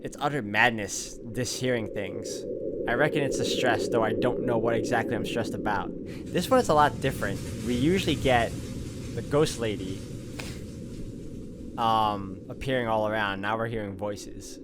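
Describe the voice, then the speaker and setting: A man's voice: medium pitch, moderate pace, noisy background. A man reading a visual novel aloud as a playthrough, dropping in wry casual asides. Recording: music behind the voice.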